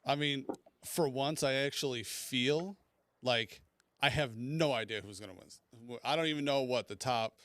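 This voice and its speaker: Male co-host, medium pitch, informal enthusiastic debate talk, emphatic repeats, very dry recording. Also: measured pace, a bit bright, no echo. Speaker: podcast co-host. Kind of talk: casual movie debate chat.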